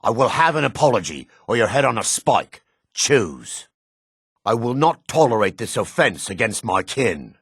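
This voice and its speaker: An actor playing a gruff, haughty dark elf rogue in a video game, with a gravelly, raspy voice and a curt, disdainful, suspicious way of talking. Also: male voice, mid-range voice, very animated, normal speed, no echo, clean studio sound, full character voice. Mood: angry, aggressive. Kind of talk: video game character dialogue.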